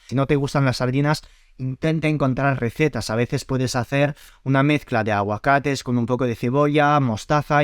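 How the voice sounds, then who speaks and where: A man's voice: medium pitch, clean tone, no room sound, studio quality. A man from France with a French accent, explaining nutrition science to camera in a friendly, step-by-step way with small fillers. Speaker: nutrition YouTuber.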